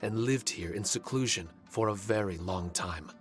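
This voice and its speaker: A man voicing a solemn, soft-spoken magical spirit servant in a video game, speaking in a grave, measured, hesitant way. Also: on the low side, soft background music, natural voice. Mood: wistful. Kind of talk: animated video game voice acting.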